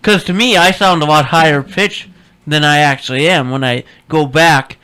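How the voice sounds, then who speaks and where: A man's voice: fairly high pitch, normal speed, sharp tone, clean tone. A young man hosting a radio show, chatting casually and posing probing rhetorical questions. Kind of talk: casual on-air chat.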